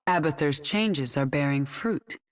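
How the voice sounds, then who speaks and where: A woman's voice: low-pitched, measured pace. An actress playing the commanding queen of an alien swarm, giving cold, authoritative orders in a game cutscene.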